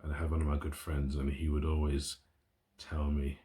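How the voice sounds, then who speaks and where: Man, extremely deep bass voice, rambling friendly vlog chat, home table, phone mic. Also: completely flat delivery, moderate pace, mellow. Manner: chatty, rambling, enthusiastic. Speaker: YouTuber. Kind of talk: casual vlog talk to camera. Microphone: phone camera, built-in mic.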